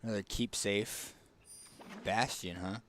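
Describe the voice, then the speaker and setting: A man with a raspy, hoarse voice casually commenting on a card game as he picks cards, thinking aloud with fillers and restarts. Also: fairly low pitch, steady tone, slow pace, fairly bright tone. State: hoarse, tickly throat. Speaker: streamer.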